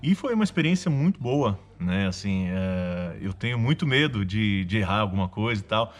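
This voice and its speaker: A Brazilian man with a lowish voice talks casually in an interview. He comes across as modest and informal, an amateur reflecting on voice work. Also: animated, moderate pace. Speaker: amateur voice actor.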